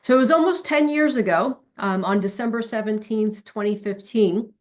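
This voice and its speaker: A woman with a European Portuguese accent delivering a keynote over a video call. Her pitch sits at a middle level and she speaks fluently. She moves easily from one point to the next. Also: unhurried. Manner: warm, fluent, switches between Portuguese and English. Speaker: keynote speaker, foundation executive. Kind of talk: online conference presentation.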